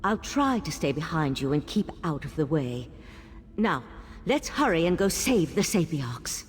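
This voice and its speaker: A woman's voice: deep voice, sing-song, a little slow, long reverb tail, slight background noise. An actress playing an earnest, cautious, scholarly female elven mage in a video game. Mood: determined. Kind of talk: video game character dialogue.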